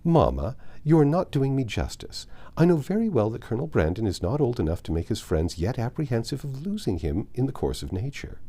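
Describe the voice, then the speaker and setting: A man's voice: medium pitch, sing-song, fairly quick, hardly any pauses, dark tone, voice pushed up. A man voicing a passionate, dramatic girl of seventeen from a period novel, earnest and emphatic. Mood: indignant, emphatic.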